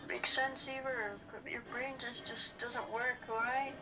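A woman's voice: a little high, little pitch movement, measured pace, rough recording. A woman co-commentating on a game in casual banter, with a teasing, sardonic manner. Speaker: co-commentator on a gaming show. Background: video game music.

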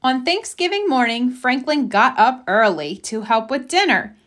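Female storybook reader: highish, animated, careful and expressive narration for young children. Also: moderate pace. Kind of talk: children's read-aloud narration.

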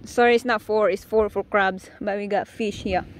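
A woman's voice: medium pitch, moderate pace, a little hiss or hum. A woman in her thirties with a Filipino accent, vlogging casually on a phone camera and exclaiming often. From Philippines. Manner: excitable, exclaiming and laughing often. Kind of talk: casual vlog narration. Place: beach outdoors, later home kitchen.

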